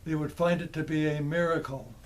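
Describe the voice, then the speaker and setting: An older man in his seventies narrating a scripted demonstration in a measured, methodical way. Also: a little high, steady tone. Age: older, around 70s. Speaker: presenter of vintage electronics. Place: small indoor electronics workshop.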